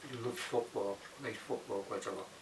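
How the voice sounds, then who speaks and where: A man in his sixties with a lowish voice and a Liverpool accent, speaking as an interviewee. He sounds distant from the mic in a roomy space, with noticeable background noise. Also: fairly even intonation, moderate pace. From Bootle, Liverpool, England. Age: 60s. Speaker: documentary interviewee. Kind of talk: unscripted interview answers.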